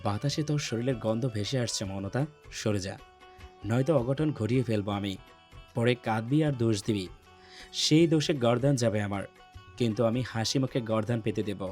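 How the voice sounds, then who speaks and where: A man's voice: medium pitch, moderate pace, darkish tone, soft background music, natural voice. A man acting a brooding, teasing young man in love in an audio love story. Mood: intense, warning.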